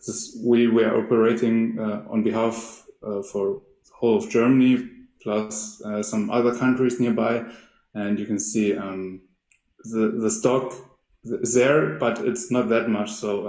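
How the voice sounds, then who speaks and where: A man presenting in a webinar as a company representative, speaking in a halting, hesitant way. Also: fairly low pitch, vocal fry, dark tone. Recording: roomy, echoey sound.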